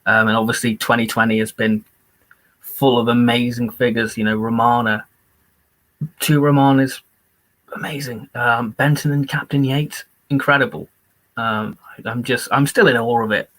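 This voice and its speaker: A man at a middling pitch talks casually on a live-stream, rambling with lots of fillers. He is excited about his topic. His voice is going hoarse from talking. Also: normal speed, low-quality recording. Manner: rambling and enthusiastic, many fillers. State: losing his voice.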